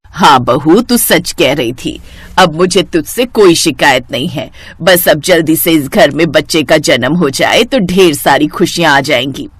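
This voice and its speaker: Woman voicing low-pitched, fickle older mother-in-law. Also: lively intonation, a little slow, husky, dry recording, exaggerated voice. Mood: pleased.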